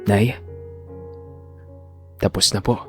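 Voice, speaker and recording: A man playing a calm, measured hospital director and devoted son in an audio drama, solemn and firm. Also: on the low side, faint music behind, voice pushed down. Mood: tender, solemn. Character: grown male doctor and hospital director, calm, grieving son.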